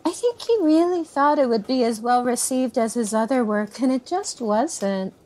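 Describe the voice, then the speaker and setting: A woman's voice: fairly high pitch, normal speed, darkish tone, lightly acted. An actress playing a candid, motherly woman, the niece of an author, chatting naturally in an audio drama interview. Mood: candid, reflective. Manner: conversational, candid.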